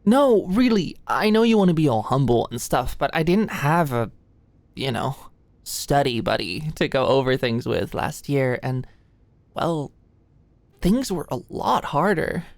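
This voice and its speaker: A woman's voice: deep voice, big pitch swings, a little slow, no echo, heavily acted. Woman acting as anxious, rambling young student. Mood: shy, grateful. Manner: rambling, stammering, hesitant, swearing. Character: anxious, stressed-out young female medical student, self-doubting, sweet. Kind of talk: intimate ASMR roleplay monologue.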